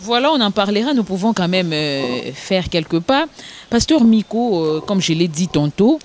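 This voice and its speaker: A woman hosts a television interview in formal, ceremonious tones. Her delivery stumbles along with frequent filler pauses. Her voice sits at an ordinary middle pitch, with a faint hiss underneath. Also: sing-song, darkish tone. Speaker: TV show host.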